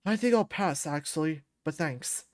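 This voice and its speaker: A woman voicing a huge male beast fighter, gruff, proud and grumbling, in a visual novel. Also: low-pitched, animated, moderate pace, a little mellow, no room sound, studio quality, voice pushed down. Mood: grumbling, sardonic. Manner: gruff, defiant, blustering.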